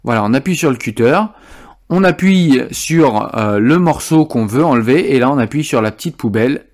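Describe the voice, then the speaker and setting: A man's voice: mid-range voice, animated, moderate pace, darkish tone. Informal man presenting a tutorial. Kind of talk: informal software tutorial, demonstrating step by step.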